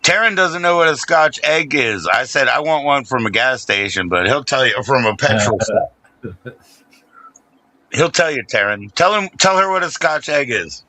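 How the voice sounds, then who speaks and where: A man's voice: medium pitch, animated, moderate pace, bright, forceful tone, no room sound, poor audio. A man chatting casually as a podcast host, laid-back, swearing and laughing often. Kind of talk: casual chat.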